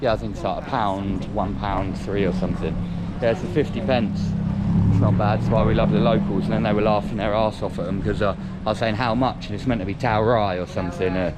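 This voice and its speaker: A man's voice: lowish voice, steady tone, fairly quick. A man chatting casually in a travel vlog, rambling and restarting his sentences as he goes. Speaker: travel vlogger. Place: outdoors on busy city streets and in a tuk-tuk. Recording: lo-fi with loud street traffic noise.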